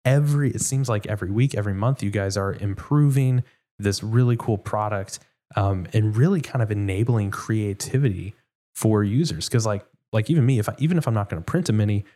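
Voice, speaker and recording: A man's voice: lowish voice, a little fast, dark tone. A man from Houston hosting a casual interview, rambling with lots of fillers. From Houston, Texas. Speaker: host.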